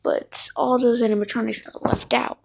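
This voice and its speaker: A woman's voice: on the high side, flat delivery, moderate pace, vocal fry, darkish tone. A woman hosting casual fan commentary on game characters, thinking aloud and often correcting herself. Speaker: video creator, host.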